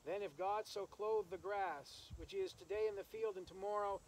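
A male minister with a notably high voice leads a graveside service in the open air. His delivery is solemn and measured, with pauses between phrases. The camera stands some metres off, and faint insect and nature noise runs behind him. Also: darkish tone, rough recording. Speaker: minister officiating a funeral. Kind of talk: graveside sermon with scripture reading and prayer. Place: outdoors in an open rural cemetery. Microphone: camera several metres away.

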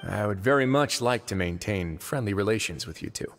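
An actor playing a composed, wry young duke in a video game, measured and dryly courteous. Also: male voice, fairly low pitch, lively intonation, fairly bright tone, slight background noise. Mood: calm, measured. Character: composed, wry young duke ruling an underwater prison fortress. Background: music.

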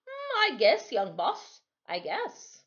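A woman voicing an easygoing West Indian sailor man in his sixties in a storybook, with folksy, unhurried dialect. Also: very high voice, wildly swooping pitch, fairly bright tone, highs cut off, pitched above the performer's own voice, full character voice. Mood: amused, musing. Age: 60s. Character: old West Indian sailor, over sixty, easygoing, chuckling. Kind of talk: storybook character voice.

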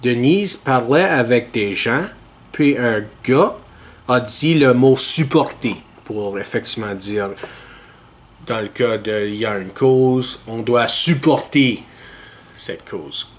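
A man, talking slowly and deliberately through an opinion monologue. His delivery is earnest and measured, with a mid-range voice. He speaks straight into a desk webcam in a small curtained room. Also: mid-range voice. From Ontario, Canada. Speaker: podcast host.

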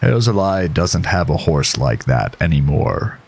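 A man voicing a meek, evasive doctor in an audio story, husky, with halting, forced politeness. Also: deep voice, very animated, darkish tone, heavily acted.

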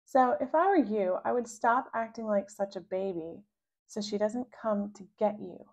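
A woman's voice: medium pitch, moderate pace, darkish tone, lightly acted. Woman doing a bossy, scheming big sister. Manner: conspiratorial, teasing.

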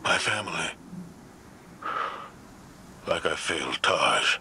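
A man's voice: deep voice, lively intonation, slow, vocal fry, bright, forceful tone, exaggerated voice. A man playing a grave, weary veteran military commander in a video game, solemn and restrained. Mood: weary, mournful. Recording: noise or music behind the voice.

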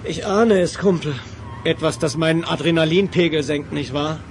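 Man playing an urgent, high-voiced action hero. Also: normal speed, background noise, natural voice. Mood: wry, exasperated. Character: determined action hero, loyal, urgent, wry. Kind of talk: German audio drama acting.

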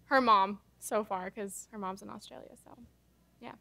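A woman's voice: mid-range voice, steady tone, normal speed, gravelly, pushed and bright. A young woman basketball player answering postgame questions at a press table, casual and hesitant with many fillers. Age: young adult. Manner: casual, hesitant with many ums and you knows. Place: press conference room. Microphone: table microphone.

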